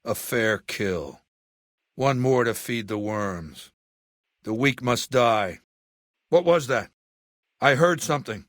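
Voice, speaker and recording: A man playing an arrogant, ruthless middle-aged warlord dictator in a video game, speaking in a haughty, deliberate, condescending way. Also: a little high, sharp tone, no room sound, clean studio sound, full character voice. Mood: menacing. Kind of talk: video game voice lines and monologue.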